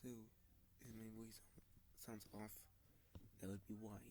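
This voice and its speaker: A man with a congested, sniffling voice speaks very slowly, in halting bits, often slipping into a soft near-whisper. He sounds like a casual vlogger talking into a close mic. There is a faint hiss of background noise behind him. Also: lowish voice, little pitch movement. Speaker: model train channel host. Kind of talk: casual screen-recorded vlog.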